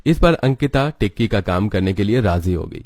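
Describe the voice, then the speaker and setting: A man's voice: fairly low pitch, animated, normal speed, darkish tone, soft background music. A man reading scripted storybook narration for a children's cartoon.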